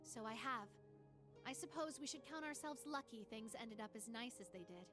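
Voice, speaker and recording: An actress playing a demure, polite young student in a video game, with prim phrasing. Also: female voice, fairly high pitch, animated, moderate pace, over music, natural voice.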